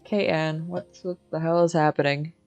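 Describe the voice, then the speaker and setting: A woman with a strikingly low voice gives casual game-stream commentary. She is candid and opinionated, talking as she would in an informal chat. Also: steady tone, moderate pace, darkish tone, soft background music.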